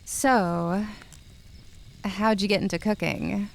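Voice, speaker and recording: A woman's voice: medium pitch, fairly even intonation, takes it slowly, vocal fry. An actress playing an inquisitive, candid young woman in an audio drama, with a direct, probing way of talking. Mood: curious. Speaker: actor. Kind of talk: naturalistic audio drama dialogue. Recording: music playing behind the voice.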